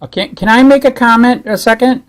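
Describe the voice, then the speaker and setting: A man in a phone conversation, speaking as a caller disputing a rule in a halting, insistent way. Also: very high-pitched.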